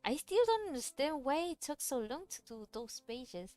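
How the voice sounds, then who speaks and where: A woman's voice: sits high, very animated, normal speed, a little mellow, dry recording. A woman whose first language is Cantonese, chatting casually on a livestream while she draws, rambling and answering chat questions. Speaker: streamer drawing a webcomic. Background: faint music.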